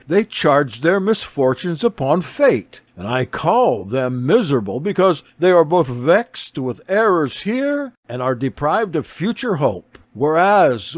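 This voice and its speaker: A man's voice: fairly high pitch, no room sound. A man voicing a kindly, earnest male religious teacher in an audiobook, with a solemn, questioning manner. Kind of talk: audiobook character reading.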